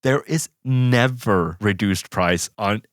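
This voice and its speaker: A man's voice: on the low side, slow, no room sound, clean studio sound. A young man from Norway co-hosting a podcast, rambling and joking in casual chat. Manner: joking, rambling, asks "you know what I mean". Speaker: podcast co-host.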